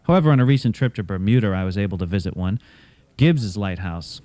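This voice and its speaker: A man hosting a radio theatre show, delivering scripted, literary narration in a measured, dramatic way. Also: fairly low pitch, expressive, warm tone, no echo. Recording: cassette of radio show, music behind.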